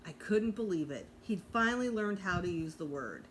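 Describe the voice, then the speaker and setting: Expressive woman reading a storybook aloud. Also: lowish voice, moderate pace, low-quality recording. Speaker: teacher reading to students. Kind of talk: animated storybook read-aloud. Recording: clear background noise.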